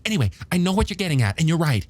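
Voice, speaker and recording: A man's voice: on the high side, very animated, rapid-fire, voice pushed up. A man playing an eager, bookish young male scientist in an audiobook, stammering a little as he explains things emphatically and testily. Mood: testy. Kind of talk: audiobook character dialogue.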